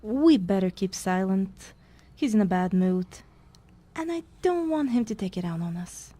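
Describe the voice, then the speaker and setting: Mellow, wry woman acting as storyteller-scribe. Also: mid-range voice, expressive, normal speed, close to an everyday voice. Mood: cautious. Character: wry, bickering female narrator-scribe trailing the hero. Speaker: narrator. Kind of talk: scripted fantasy storytelling.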